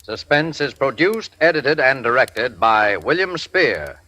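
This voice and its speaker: A man's voice: mid-range voice, big pitch swings, a little slow, pushed and bright. A man announcing a 1940s radio drama in a formal, declamatory way.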